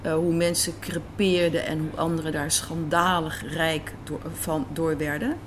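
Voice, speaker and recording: Low-voiced woman in a café interview. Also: flat delivery, measured pace. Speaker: guest, radio drama writer and director. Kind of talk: conversational interview answers. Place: indoors in a café. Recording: clear background noise of a café.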